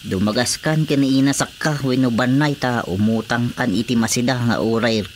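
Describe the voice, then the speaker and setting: A simple, agreeable village husband with a medium-pitched voice, acted by a man. The style is radio drama comedy. Crickets and light noise are heard behind him. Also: normal speed, close to an everyday voice. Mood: excited, grumbling.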